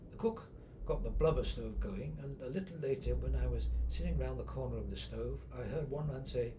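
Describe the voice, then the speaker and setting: A man's voice: medium pitch, a little fast, noisy background. A man reading a first-person expedition account as scripted narration.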